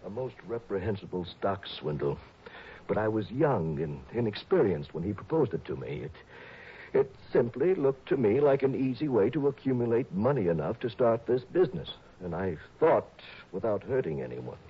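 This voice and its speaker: An actor playing an anxious middle-aged stockbroker who is being blackmailed, fretful, pleading and refined, in a 1960s radio drama. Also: male voice, lowish voice, sing-song, moderate pace, slightly rough, lo-fi sound, heavily acted. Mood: ashamed.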